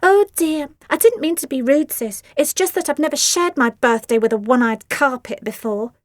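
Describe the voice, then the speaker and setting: A woman's voice: sits high, brisk, no echo, pitched above the performer's own voice, natural voice. A woman reading aloud, voicing a sarcastic, snooty teenage girl with a sneering drawl.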